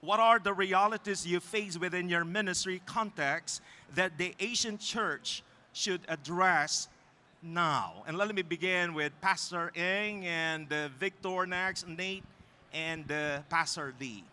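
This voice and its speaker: A man moderating a church leadership panel, speaking haltingly with many fillers. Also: high-pitched, sharp tone.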